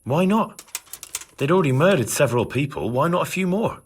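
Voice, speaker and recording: A man's voice: on the high side, lively intonation, fairly quick, breathy edge. An actor playing an earnest, plain-spoken young peasant man-at-arms in a video game, explaining himself respectfully. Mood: insistent. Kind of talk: video game cutscene acting.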